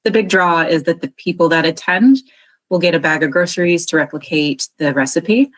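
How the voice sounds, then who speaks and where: A woman's voice: fairly low pitch, moderate pace, dry recording. A woman giving friendly meeting updates over a call, with many fillers. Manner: friendly, filler-heavy listing of events.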